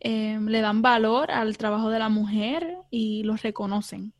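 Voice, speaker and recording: A woman's voice: on the high side, measured pace, no echo. A young Puerto Rican woman, a theology student, answering interview questions earnestly with many fillers and some English words. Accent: Puerto Rican Spanish. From Puerto Rico.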